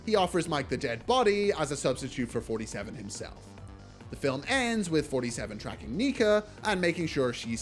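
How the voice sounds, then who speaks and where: A man delivering scripted video essay commentary in a blunt, casually sardonic way. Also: a little high. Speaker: video essayist reviewing films. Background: music.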